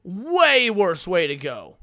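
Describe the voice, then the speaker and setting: High bright-voiced man, casual and sweary. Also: huge pitch swings, takes it slowly, creaky, no echo, clean studio sound. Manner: slangy, swearing. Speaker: livestreamer reacting to a horror video. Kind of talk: casual reaction commentary.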